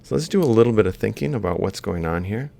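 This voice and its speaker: A man giving an informal, step-by-step lecture, explaining haltingly as he works through examples. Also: on the low side, expressive, creaky, mellow. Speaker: university robotics lecturer. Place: screen-recorded lecture voice-over.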